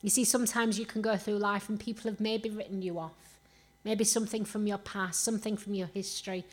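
A woman's voice: mid-range voice, fairly even intonation, brisk. A woman from Rotherham with a Yorkshire accent preaches an earnest sermon with personal stories, rhetorical questions and emphatic repetition. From Rotherham, Yorkshire, England.

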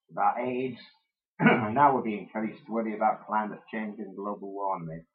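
A man preaching a sermon, hoarse and losing his voice, clearing his throat often. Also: fairly low pitch. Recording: muffled phone-quality recording.

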